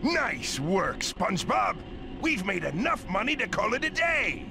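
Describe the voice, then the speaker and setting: An actor playing a greedy, blustery old crab boss with a gravelly, salty sea-captain manner in a cartoon game. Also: male voice, high voice, big pitch swings, unhurried, music in the background, heavily acted. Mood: jovial, greedy. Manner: blustery, salty pirate-like talk. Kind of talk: video game cartoon acting.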